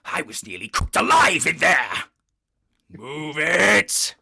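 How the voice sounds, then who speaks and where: A man's voice: on the high side, very animated, slow pace, vocal fry, bright, forceful tone, husky, pitched above the performer's own voice, full character voice. A man voicing a grouchy, mocking pig-demon companion from a fantasy video game, impatient in manner. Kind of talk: video game character acting.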